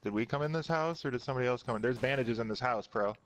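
A man's voice: medium pitch, racing. A man in casual gaming chat, calling out to teammates in slangy, profane language. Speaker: video game podcast member playing online. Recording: noisy game voice chat with clicks.

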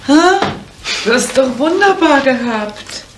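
A woman's voice: high-pitched, animated, takes it slowly. An actress playing an evasive, soothing woman in a 1970s German radio drama. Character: evasive, soothing woman close to a young man. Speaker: radio play actor. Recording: echoey, noisy 1970s radio play recording.

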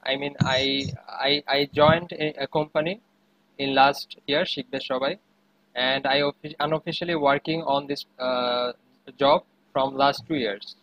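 Young male interviewee, Bangladeshi accent, mid-pitched, halting and repetitive delivery on a webcam call. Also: steady tone, a bit bright, dull audio. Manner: hesitant, repeats phrases. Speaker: interviewee, web developer. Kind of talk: informal interview answers. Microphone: webcam video call.

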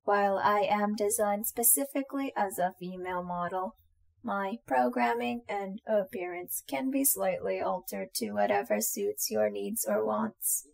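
Woman as a slow, creaky-voiced robot girl companion. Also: mid-range voice, a little mellow, rough recording. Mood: polite, formal. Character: affectionate, shy young female robot companion droid. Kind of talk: roleplay audio drama addressed to the listener.

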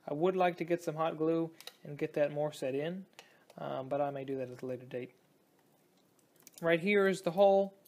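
Young adult man, somewhat higher voice, casual hobbyist demo, halting delivery, indoor tabletop recording. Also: darkish tone. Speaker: hobbyist presenter. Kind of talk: casual hands-on electronics demonstration.

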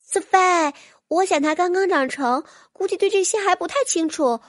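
A woman's voice: very high voice, moderate pace, dry recording, studio quality, voice pushed up. A woman voicing a cheeky, giggly, childlike little fairy sprite in an audiobook, playful and boastful. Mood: concerned. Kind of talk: audiobook character voice.

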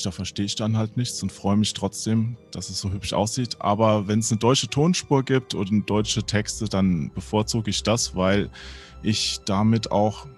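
A man's voice: on the low side, husky. A man answering a survey question, laying out his reasons at length. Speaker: interviewee.

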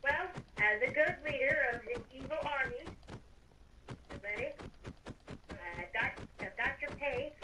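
A woman's voice: sits high, expressive, measured pace, pauses often. A woman chatting casually while playing and roleplaying in a video game over voice chat. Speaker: player in a group game roleplay. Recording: distant mic, faint noise and clicks.

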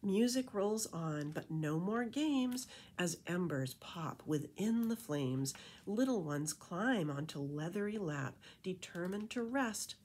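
A middle-aged woman reads a children's picture book aloud as a librarian, with rhythmic, animated storytelling and casual asides. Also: on the low side, takes it slowly, breathy edge. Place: indoor room with painted wall.

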